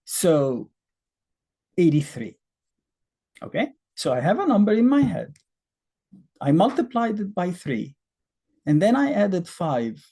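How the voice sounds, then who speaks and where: Man, Egyptian accent, native Arabic speaker, fifties, math teacher, lively pitch, husky voice. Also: takes it slowly, long pauses. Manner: conversational, thinks aloud with fillers and rhetorical questions. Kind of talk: casual explanatory lesson.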